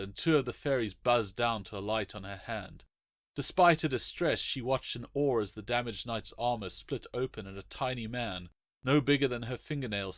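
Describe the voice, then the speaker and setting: A man's voice: mid-range voice, no echo, clean studio sound. A man reading his own short story aloud as a dramatic, scripted narration.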